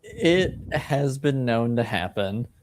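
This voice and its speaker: A man with an average-pitched voice improvises roleplay lines very slowly. He is hesitant and halting, and faint noise sits in the background. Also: expressive. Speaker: player in a tabletop roleplaying game.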